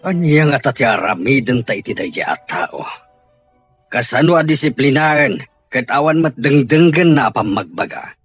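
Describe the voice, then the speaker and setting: A husky male voice of medium pitch from an actor plays a middle-aged father, stern and troubled. His intonation is dramatic and varied, in radio drama style. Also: animated, moderate pace, a bit bright, soft background music. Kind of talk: Ilocano radio drama acting.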